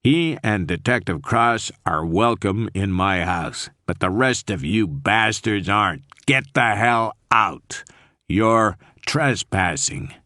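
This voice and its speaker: A man's voice: on the low side, expressive, slow pace, sharp tone, dry recording, studio quality, exaggerated voice. A man voicing an angry man ordering intruders out of his house, curt and hostile.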